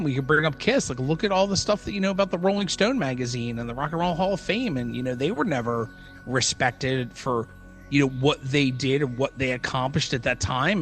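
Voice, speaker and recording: A man's voice: on the high side, a bit bright. Man in casual, rambling podcast chat. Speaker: podcast co-host. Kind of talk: casual conversational podcast talk.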